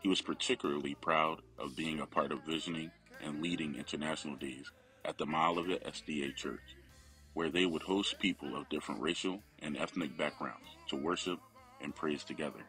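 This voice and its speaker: A man's voice: extremely low pitch, steady tone, a little fast, bright, forceful tone, soft background music, low-quality recording. A man reading a scripted memorial biography of a family member.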